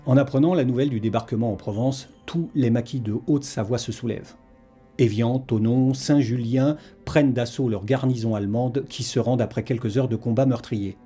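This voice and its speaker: A man's voice: mid-range voice, a little fast, mellow, faint music behind. A man reading a scripted historical documentary narration as its narrator.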